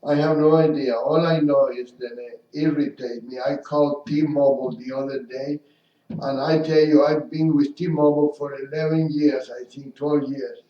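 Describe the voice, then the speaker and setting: A man's voice: a little high, steady tone, moderate pace. A man with a non-native English accent co-hosting a casual radio talk show, telling indignant stories. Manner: indignant, talkative storyteller. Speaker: radio co-host. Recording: distant roomy lo-fi mic.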